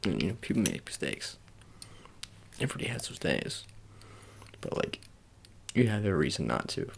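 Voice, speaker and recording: A man doing an improvised ASMR roleplay as a sports agent, talking in halting, repetitive, murmured reassurances. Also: deep voice, sing-song, vocal fry, slight background noise. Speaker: ASMR performer.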